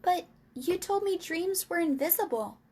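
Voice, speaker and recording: A woman voicing an excitable, curious little girl in a children's storybook, exclaiming emphatically. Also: very high voice, unhurried, voice pushed up. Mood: amazed. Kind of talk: children's storybook character reading.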